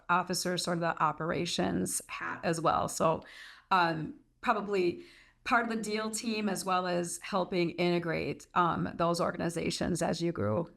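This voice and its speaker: Low-pitched woman hosting a business livestream. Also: creaky, fairly bright tone. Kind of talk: conversational business interview hosting.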